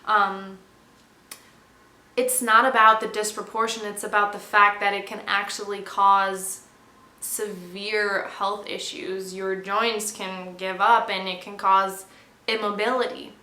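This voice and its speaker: A woman's voice: mid-range voice, level tone, slow, bright, forceful tone, reverberant. A woman in her twenties explaining a personal topic to her viewers in an earnest, straightforward way. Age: 20s. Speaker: vlogger.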